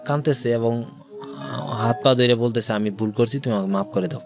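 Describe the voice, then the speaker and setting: A man with a Bangladeshi accent recounts his own life in an interview, music playing behind him. His pitch is mid-range, and he goes through things in detail, voicing the words others said. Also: fairly quick. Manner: narrates in detail, acting out quoted dialogue. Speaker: guest telling own life story. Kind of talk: personal storytelling in an interview.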